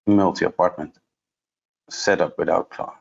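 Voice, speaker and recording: A man giving an unscripted software demonstration as a trainer, explaining step by step and thinking aloud in a halting way. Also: low voice, fairly even intonation, creaky, highs cut off. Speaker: trainer presenting a product course.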